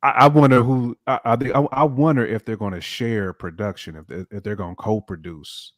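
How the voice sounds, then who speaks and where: A man's voice: medium pitch, fairly even intonation, moderate pace, no room sound, studio quality. A man chatting casually as a hip hop podcast panelist, slangy and repeating phrases for emphasis.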